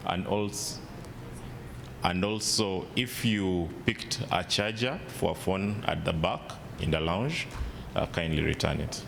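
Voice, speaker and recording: A man working as the emcee of an event, making announcements in a halting way with restarts. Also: creaky. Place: large event hall. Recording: long hall reverb, loud rumble.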